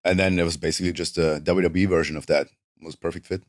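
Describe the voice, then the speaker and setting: A man in his thirties from northern Italy with a South Tyrolean German-Italian accent, whose first language is a German dialect. He talks as a guest in a relaxed, rambling way, leaning on "like" a lot. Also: sits low, fast talker, no echo, clean studio sound. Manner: relaxed, rambling, heavy use of "like". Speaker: interview guest, professional wrestler. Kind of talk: casual interview chat. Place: studio.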